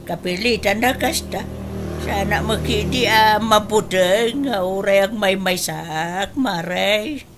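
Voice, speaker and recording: A woman's voice: mid-range voice, slow, soft background music. A woman playing a nagging, disapproving mother in a radio drama, with an Ilocano accent and grumbling, sighing interjections.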